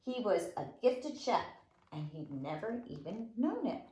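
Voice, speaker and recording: A woman's voice: medium pitch, very animated, unhurried, dark tone, slight background noise. A woman reading a storybook aloud to young children as a teacher, expressively and with dramatic emphasis. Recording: roomy, echoing room sound.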